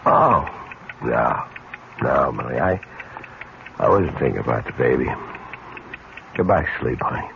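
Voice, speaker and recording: Weary low-voiced husband, muffled recording. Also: male voice, expressive, measured pace, creaky, pauses often, full character voice. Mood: weary, restless. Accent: American. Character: weary, restless husband burdened by money worries. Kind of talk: 1970s radio drama acting. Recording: muffled vintage radio recording, faint noise.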